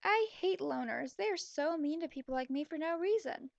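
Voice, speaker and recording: A shallow, self-absorbed teenage girl acted out by a woman gushes and whines through her storybook diary. The voice is high with vocal fry, on a slightly dull recording. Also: animated, normal speed, dry recording.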